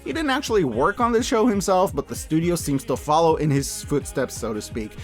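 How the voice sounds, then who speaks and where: Animated higher-voiced man reviewing with gusto. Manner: enthusiastic, emphatic, casual and profane. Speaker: host of a media review channel. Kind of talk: scripted review commentary.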